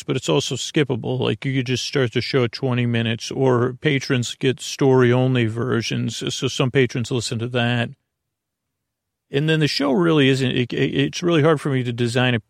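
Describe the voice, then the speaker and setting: Rambling male podcast host, gravelly creak. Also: medium pitch, no echo, clean studio sound. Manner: meandering, self-interrupting, hedging asides. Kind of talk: rambling casual monologue to listeners.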